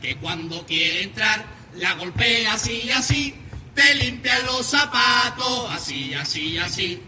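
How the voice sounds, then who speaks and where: A man's voice: high voice, expressive, takes it slowly, over music. A man with a Cádiz accent from Andalusia, performing comic carnival verses in a theatrical, declamatory rhyming delivery, part sung and part recited. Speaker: singer in a comic carnival quartet.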